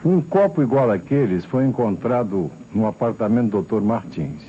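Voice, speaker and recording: An actor playing an insistent, sceptical police commissioner with a Brazilian accent in an old radio mystery play. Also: male voice, lowish voice, animated, normal speed, dark tone, close to an everyday voice. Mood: accusing. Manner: interrogating, sardonic, pressing. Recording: dull, noisy vintage radio recording.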